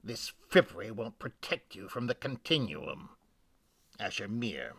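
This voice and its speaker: A man's voice: fairly low pitch, sing-song, a little slow, gravelly, sharp tone, breathy edge, no echo, exaggerated voice. A man playing a weary, grave scholar being changed by machine parasites, formal and brooding in a dramatic game lore reading.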